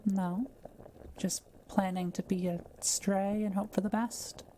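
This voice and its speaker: A woman playing a kind, curious forest witch in an intimate audio roleplay, speaking gently and thoughtfully with polite, measured phrasing. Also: medium pitch, little pitch movement, slight background noise, lightly acted. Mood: mildly concerned. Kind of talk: intimate scripted audio roleplay addressed to the listener.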